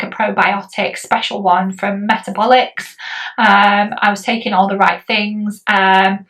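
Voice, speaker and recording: Woman, 40s, rambling vlogger, bright tone, slightly distant mic. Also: mid-range voice. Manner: chatty, rambling, many ums and likes. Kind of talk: casual health update vlog.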